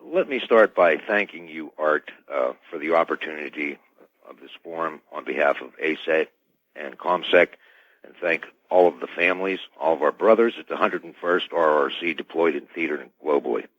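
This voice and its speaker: A man speaking as a radio interview guest, giving formal, careful, measured answers. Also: extremely low pitch, no echo. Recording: dull band-limited radio call audio.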